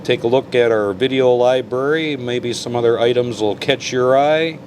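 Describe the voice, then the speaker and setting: A man's voice: mid-range voice, normal speed, darkish tone, a little hiss or hum. A man giving an informal how-to walkthrough as a video host, with a folksy, plainspoken manner. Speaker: host of a car audio tutorial channel.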